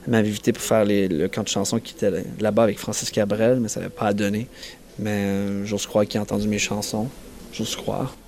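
A young man with an Acadian French accent, a singer-songwriter answering interview questions in a halting way, often restarting and searching for words. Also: lowish voice, flat delivery, a little mellow. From New Brunswick, Canada. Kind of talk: casual festival interview.

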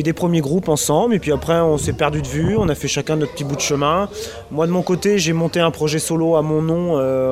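A man in his thirties from Brittany, answering interview questions in a casual, hesitant way with many fillers and restarts. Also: a little high. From Brittany, France. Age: 30s. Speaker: interviewed musician.

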